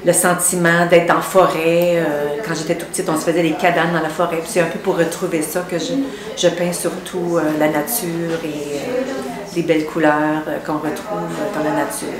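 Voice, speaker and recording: Franco-Ontarian woman with a low voice. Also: level tone, moderate pace. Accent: Franco-Ontarian French. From northern Ontario. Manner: hesitant, with many fillers and restarts. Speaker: painter, former graphic designer. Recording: echoey room with a crowd behind.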